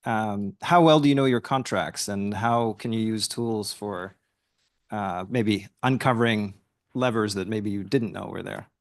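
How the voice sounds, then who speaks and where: A man's voice: lowish voice, unhurried, gravelly. A man moderating a panel at an industry forum, asking questions with a light wry edge.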